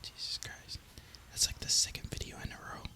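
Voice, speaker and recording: Young man whispering casual gaming ASMR. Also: a little fast. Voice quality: soft breathy unvoiced whisper. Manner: casual, relaxed, rambling. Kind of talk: whispered ASMR gameplay commentary.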